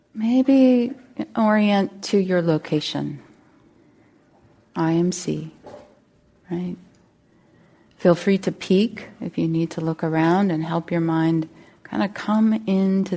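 A woman leads a guided meditation as a teacher, speaking gently and unhurriedly with long pauses. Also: on the low side.

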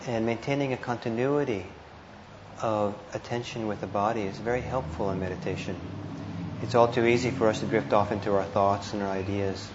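A man teaching meditation, giving guided instructions with gentle, hesitant phrasing and pauses. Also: lowish voice, steady tone. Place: indoor meditation hall. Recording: muffled, roomy live talk with noise.

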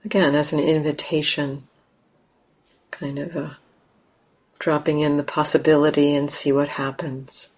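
A woman teaching a guided meditation in a gentle, unhurried way with long pauses. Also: deep voice, flat delivery, slightly rough, low-quality recording.

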